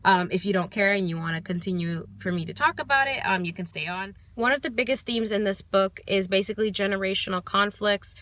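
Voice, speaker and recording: A woman's voice: mid-range voice, a little fast, few pauses, a bit bright, no echo. A young American woman giving a casual book review from her car, thoughtful and hesitant, with many ums and restarts. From United States. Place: parked car.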